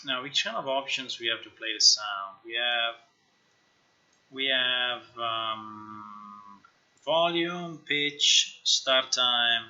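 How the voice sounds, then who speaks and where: Sharp, bright-voiced man thinking aloud, slow-paced. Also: medium pitch. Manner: halting, musing, talks to himself. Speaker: game developer live-streamer. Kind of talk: casual live screen-share commentary, thinking aloud. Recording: slightly dull, lo-fi stream audio.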